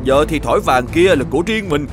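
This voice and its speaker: A man voicing a greedy, scheming, gloating treasure hunter in an animated folktale. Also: fairly high pitch, fairly quick, music in the background, close to an everyday voice. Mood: triumphant.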